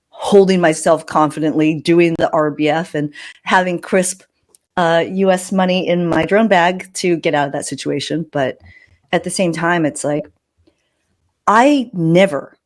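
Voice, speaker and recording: A woman hosting a podcast, telling personal stories casually with emphatic delivery and joking asides. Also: sits low. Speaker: podcast host. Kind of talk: casual podcast chat, anecdotal storytelling.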